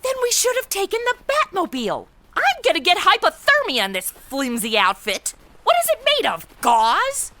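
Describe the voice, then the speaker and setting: A woman's voice: extremely high pitch, huge pitch swings, moderate pace, pushed and bright, exaggerated voice. An actress playing a sarcastic modern young woman and reluctant sidekick, snarky and blunt, in a comedy radio play. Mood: complaining.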